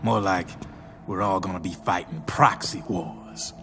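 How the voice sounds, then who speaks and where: Slick game arms dealer: deep register, slangy, smug salesman patter over prominent music. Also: a little slow. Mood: smug, talkative. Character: slick, cynical male arms dealer, folksy street hustler. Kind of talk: video game cutscene acting. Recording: loud music underneath.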